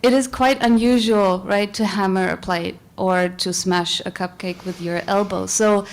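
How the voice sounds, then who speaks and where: A woman's voice: medium pitch, steady tone, measured pace. Female audience member; friendly, probing question in a lecture room. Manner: friendly, searching, restarts. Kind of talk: asking a question at a conference.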